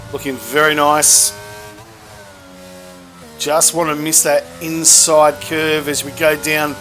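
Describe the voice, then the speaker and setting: A man commentating a hot lap on an online racing broadcast, brisk and cheeky. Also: on the high side, a bit bright, low-quality recording. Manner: brisk, cheeky and matter-of-fact.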